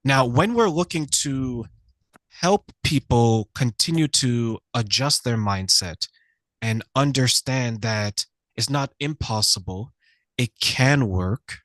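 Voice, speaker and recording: A man's voice: medium pitch, lively intonation, slow pace, a bit bright, dry recording. A male podcast host asking long conversational questions and adding his own commentary.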